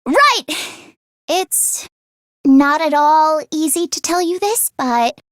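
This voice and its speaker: A woman voicing an excitable, earnest teenage girl inventor who gets easily flustered, in an expressive anime-style video game performance. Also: sits high, no room sound, studio quality. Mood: awkward, flustered. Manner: expressive, swinging from deadpan grumbling to frantic outbursts.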